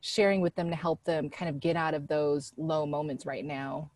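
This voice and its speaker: A woman's voice: deep voice, steady tone, normal speed, darkish tone, dry recording. A woman hosting an interview in a casual, conversational style. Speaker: interviewer, organization founder.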